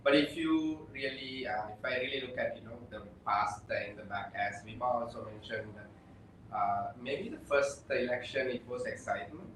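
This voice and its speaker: A man from Sri Lanka working as an election observer, talking casually on a panel with many pauses and fillers. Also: mid-range voice, a bit bright, a little hiss or hum. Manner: halting, with many fillers and restarts. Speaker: election observer, program officer. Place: indoor room, shared table with other guests. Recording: echoey room, distant mic.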